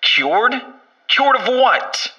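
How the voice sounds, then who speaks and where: A man's voice: extremely high pitch, very animated, very slow, bright, forceful tone, far from the mic, exaggerated voice. A man playing a stern, accusing doctor who interrogates a contained creature in an acted drama. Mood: exasperated, accusing.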